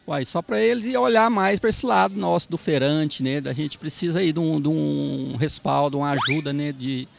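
A man, a market vendor interviewed outdoors at night, speaking haltingly and repeating himself. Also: on the high side, creaky. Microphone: handheld mic held by interviewer.

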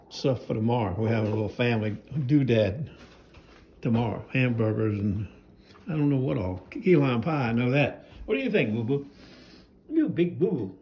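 Folksy older man with a gravelly voice. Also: mid-range voice, darkish tone, slight background noise. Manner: rambling, folksy, chatty. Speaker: knife sharpener vlogger. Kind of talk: casual home vlog narration. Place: house and yard, handheld phone filming.

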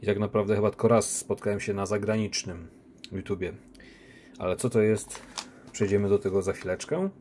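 A man unboxing silver coins, talking casually on a phone recording with a relaxed, chatty manner. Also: fairly low pitch, brisk, slight background noise. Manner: relaxed, chatty, with many diminutives and fillers. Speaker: coin collector unboxing silver. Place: indoor room by a window. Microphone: phone camera.

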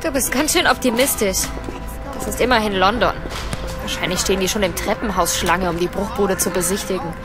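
A woman's voice: mid-range voice, fairly quick, natural voice. A young actress playing a sceptical, sarcastic young mother in naturalistic audio drama dialogue. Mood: dry, teasing. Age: young adult. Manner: dry, teasing sarcasm. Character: sceptical, sarcastic young mother apartment-hunting with her boyfriend. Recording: studio audio drama with music underneath.

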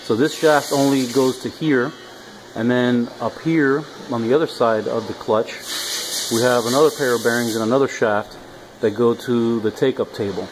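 A middle-aged man with a mid-pitched voice walks viewers through a machine in a casual, halting way. He talks in short, practical phrases as he demonstrates. The handheld recording is made in a large workshop with clear noise behind him. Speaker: workshop machine builder and video host. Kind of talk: explanatory walkthrough of a machine. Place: large indoor metal workshop. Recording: clear shop noise on handheld camera audio.

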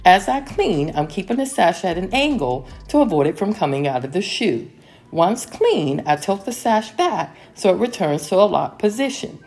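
A middle-aged woman giving a calm, step-by-step voice-over of her home cleaning routine. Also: medium pitch, moderate pace. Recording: voice-over with soft music behind.

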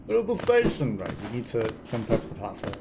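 A man's voice: mid-range voice, huge pitch swings, quick pace. A man, giving casual, playful gameplay commentary as he muses aloud. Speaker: let's play host. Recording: clearly noisy game stream with clicks.

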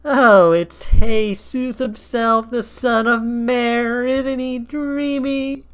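A swooning pegasus from a video game. The voice is pitched very high and drawls slowly, gushing with adoration. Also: male voice, fairly even intonation, fairly bright tone, smooth, full character voice. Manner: drawn-out, gushing.

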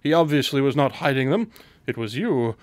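A man's voice: expressive, moderate pace, dry recording, natural voice. A man playing a shrewd, exacting detective with a dry, precise, formal manner in a radio drama. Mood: probing. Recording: clear background noise, low rumble.

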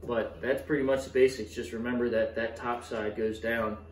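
A young man in a home kitchen casually teaching how to stretch pizza dough, encouraging and steady, with frequent quick approvals. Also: medium pitch. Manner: encouraging, steady coaching with frequent "yep, perfect". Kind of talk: casual cooking demonstration. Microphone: camera mic from across the counter. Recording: echoey kitchen, voice a bit far off, faint room noise.

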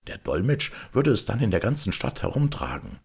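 Man acting a pompous, eager town mayor, raised pitch. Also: sing-song, fairly quick, gravelly, husky, full character voice. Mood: anxious musing. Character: obsequious, self-important Russian town mayor, formal and fussy. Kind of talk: audiobook character acting.

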